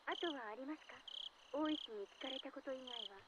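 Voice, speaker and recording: A woman's voice: sits high, very fast, very noisy, close to an everyday voice. A woman voice-acting an anxious teenage girl confiding in someone in a visual novel. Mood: polite, probing. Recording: dull lo-fi sound under loud music.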